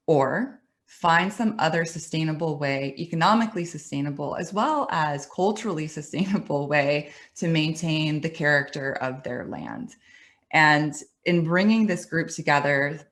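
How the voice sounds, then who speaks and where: Low-voiced woman telling a story. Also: fairly bright tone. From United States. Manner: conversational, storytelling, some restarts and light laughs. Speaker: webinar speaker. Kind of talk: presentation talk. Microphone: webinar computer mic.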